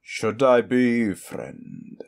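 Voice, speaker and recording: A man's voice: medium pitch, very slow, clear, resonant tone. A man voicing a smug, powerful old sorcerer, florid and mock-polite, in a fantasy audio roleplay. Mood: sly, haughty.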